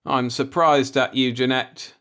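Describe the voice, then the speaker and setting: A man's voice: mid-range voice, fairly even intonation, measured pace, pushed and bright, clean tone, voice pushed up. A man voicing an earnest, law-abiding and easily alarmed man in a science-fiction audiobook, with an insistent manner. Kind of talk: audiobook character voice in a sci-fi story.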